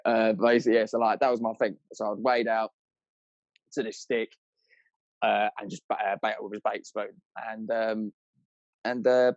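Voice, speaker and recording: A man's voice: lowish voice, a little mellow, dry recording, lo-fi sound. A British man telling anecdotes as a podcast guest, chatty, rambling and full of casual slang.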